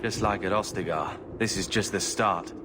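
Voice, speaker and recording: An actor playing a brash, defiant young fighter in a fantasy video game, blunt and combative. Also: male voice, deep voice, moderate pace, background noise, natural voice. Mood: tense, blunt.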